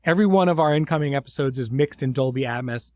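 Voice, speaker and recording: A man's voice: medium pitch, quick pace, a little mellow, no room sound. A middle-aged man hosting a podcast in an informal, conversational way, effusive with his praise. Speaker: host and series creator.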